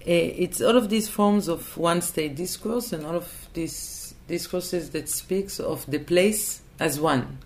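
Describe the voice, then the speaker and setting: A woman's voice: fairly low pitch, takes it slowly, hardly any pauses. A woman from Israel, answering in a thoughtful interview and hesitating and restarting as she looks for words. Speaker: interview guest.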